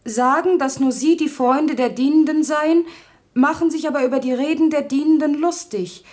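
An actress playing a pert, sceptical young maidservant in a German radio play, with a pointed, declamatory delivery. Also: female voice, high voice, normal speed, a little mellow, natural voice. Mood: challenging.

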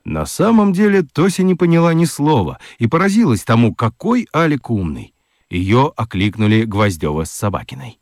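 A man narrating a children's radio play as an expressive, dramatic storyteller. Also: medium pitch, moderate pace, dry recording, studio quality.

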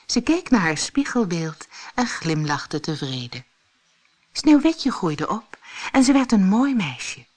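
A woman narrating a scripted fairy tale audiobook for children in an expressive, deliberate way. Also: mid-range voice. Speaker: storyteller.

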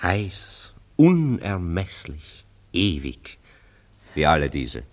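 Very deep, ironic gentleman. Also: wildly swooping pitch, extremely slow, full character voice. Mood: sardonic. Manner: sardonic, urbane, theatrical. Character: witty, ironic, worldly male bachelor friend. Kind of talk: classic German radio play acting.

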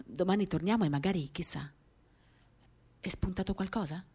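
A woman gives an expressive, lyrical dramatic reading of a first-person literary story, shifting in intensity as she tells it. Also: fairly low pitch, moderate pace, slightly rough. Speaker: reader of a literary work. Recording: acoustic guitar music accompanying the voice. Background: acoustic guitar.

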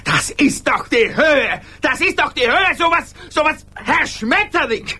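An actor playing an anxious, indignant, stubbornly principled office clerk in a German radio drama, halting and repeating himself. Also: male voice, extremely high pitch, big pitch swings, pushed and bright, heavily acted. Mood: indignant, agitated.

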